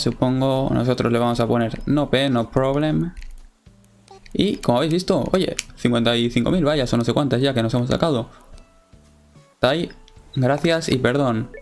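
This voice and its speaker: A man's voice: medium pitch, creaky. A young man with a Spain Spanish accent, chatting casually to viewers over gameplay, full of fillers. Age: young adult. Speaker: gaming video creator.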